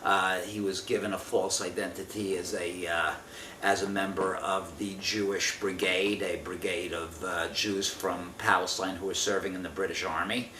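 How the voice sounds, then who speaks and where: A man in his fifties answering an oral history interview from memory, speaking in a halting, careful, measured way. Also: sits low, flat delivery, bright, forceful tone. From Israel. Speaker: interviewee giving family testimony. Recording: roomy, distant mic with faint noise.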